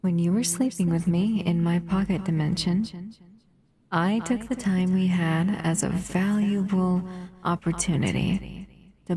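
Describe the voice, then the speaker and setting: A woman voicing a possessive, doting female monster in an intimate audio roleplay, soft, cooing and teasing. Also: on the low side.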